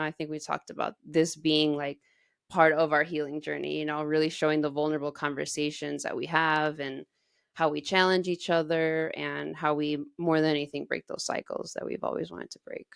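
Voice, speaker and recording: Low-voiced woman in her thirties, creaky. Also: level tone, moderate pace, no room sound, studio quality. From United States, grew up in Texas. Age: 30s. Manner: informal, heavy use of "like". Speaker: podcast host. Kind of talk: casual conversational podcast chat.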